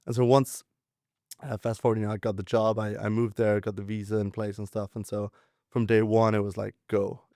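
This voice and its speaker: A young man telling his story in a relaxed, rambling way, full of fillers and restarts. His voice is low and gravelly, with a dark, mellow tone. His delivery stays flat and even, and the sound is dry and close. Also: normal speed, dry recording. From Denmark, raised in southern France. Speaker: guest, venture capitalist. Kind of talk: casual interview storytelling.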